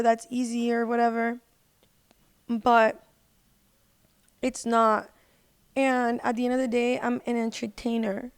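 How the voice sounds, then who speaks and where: Woman, podcast guest, slightly high voice, very smooth, fairly flat intonation, earnest casual chat. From United States. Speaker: podcast guest, singer and social media personality.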